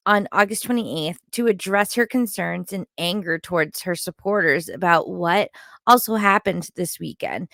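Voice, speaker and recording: A woman's voice: on the low side, gravelly, a bit bright, no echo, studio quality. A woman delivering opinionated, partly scripted recap commentary in a critical, disapproving manner, like an online content creator.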